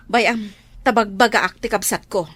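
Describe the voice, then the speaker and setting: An actress with a Filipino accent playing a concerned older sister giving sighing advice in a radio drama. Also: female voice, medium pitch, fairly even intonation, normal speed, fairly bright tone, husky.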